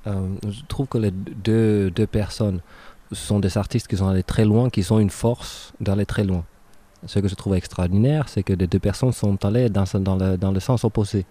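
A man's voice: on the low side, steady tone, moderate pace, mellow. A man from Finland in his thirties, speaking with a Finnish accent as a dancer and choreographer interviewed on radio, hesitant with many restarts.

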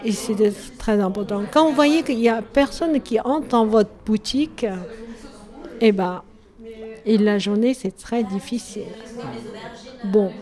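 A woman from China speaking French as a second language with a Chinese accent, answering interview questions in a halting way and often correcting herself. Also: on the high side, darkish tone. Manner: halting, searching for words, self-correcting. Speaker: tea shop owner.

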